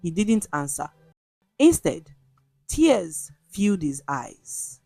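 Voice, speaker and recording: A woman's voice: on the low side, big pitch swings, takes it slowly, warm tone, no echo. A woman storyteller reading a scripted folktale in a dramatic narrative style. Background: music.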